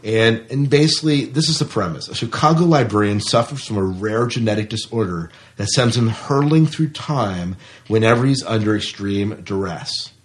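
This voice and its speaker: A man's voice: fairly low pitch, normal speed. A man co-hosting a podcast, talking casually about films and reading out reviews. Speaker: podcast co-host.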